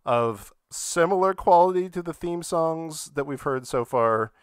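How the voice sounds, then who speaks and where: A man chatting casually on a live podcast, in a halting, self-deprecating manner. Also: on the high side, expressive, smooth, no echo, clean studio sound. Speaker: podcast participant and songwriter.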